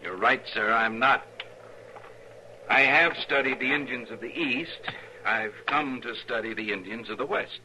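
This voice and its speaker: An actor playing a prim, formal eastern scholar in a 1950s radio western. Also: male voice, mid-range voice, a little slow, sharp tone, slight background noise, low-quality recording. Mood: polite. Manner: overly polite, formal, fussy. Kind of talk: 1950s radio drama acting.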